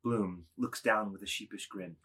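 A man with a low voice and a husky grain narrates. He reads scripted stage directions from a literary work, deliberately and with drama. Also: fairly even intonation, fairly bright tone.

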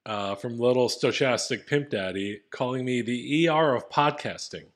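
A man with a deep voice co-hosts a casual comedy podcast. He talks in a laid-back way and reads listener reviews aloud. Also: moderate pace. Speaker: podcast co-host.

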